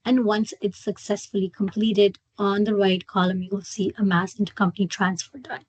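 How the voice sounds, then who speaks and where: A woman presenting a live software walkthrough as a trainer, explaining step by step in a halting way. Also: medium pitch, level tone, husky, no room sound, rough recording. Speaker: software trainer hosting a webinar. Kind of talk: live screen-share product walkthrough.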